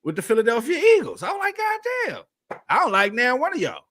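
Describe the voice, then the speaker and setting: A man's voice: extremely high pitch, wildly swooping pitch, a little fast, pushed and bright. A man co-hosting a casual sports chat, rambling with frequent filler words. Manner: rambling, lots of "you know" fillers. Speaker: co-host.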